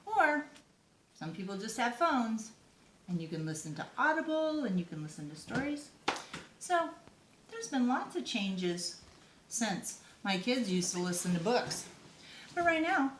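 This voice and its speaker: An older woman, a grandmotherly storyteller, reads to young children with expressive, drawn-out words and chatty asides. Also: medium pitch, a little hiss or hum. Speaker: storyteller grandmother reading to kids. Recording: echoey room.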